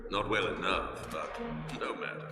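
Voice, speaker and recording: Far-off, echoing holy warrior. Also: male voice, low-pitched, measured pace. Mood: grim satisfaction. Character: zealous, ruthless male holy warrior of a vampire-hunting order. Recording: distant, echoing hall under loud music.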